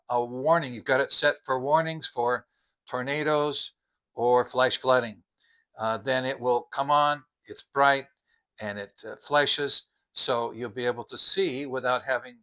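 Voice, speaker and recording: A man's voice: mid-range voice, bright, forceful tone. A man hosting a casual product review, explaining things conversationally and addressing viewers directly as he goes. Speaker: host of a product review channel.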